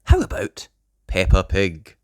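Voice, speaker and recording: A storyteller reading a children's picture book aloud with expressive, measured scripted narration. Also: deep voice, clean tone, dry recording, studio quality.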